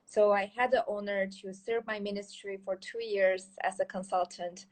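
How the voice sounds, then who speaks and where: Earnest Kyrgyz woman, halting speech. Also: medium pitch, steady tone, low-quality recording. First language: Chinese. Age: 30s. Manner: earnest, halting with many fillers and restarts. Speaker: guest. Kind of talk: casual interview talk.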